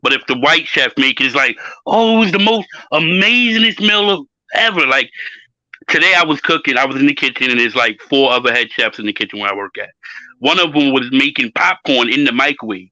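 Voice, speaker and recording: A man's voice: mid-range voice, animated, a little fast, bright, forceful tone, dry recording. A man who works as a chef, talking emphatically in a casual chat and often repeating words as he starts a thought. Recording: dull, lo-fi audio.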